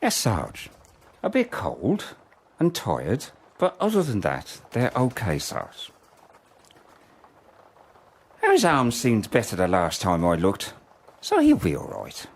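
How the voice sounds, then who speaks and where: Cynical trench soldier, very animated. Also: male voice, lowish voice, measured pace, husky, heavily acted. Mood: dutiful. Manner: blunt, colloquial, sardonic. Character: cynical, fatalistic WW1 private soldier in the trenches. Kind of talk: radio drama acting.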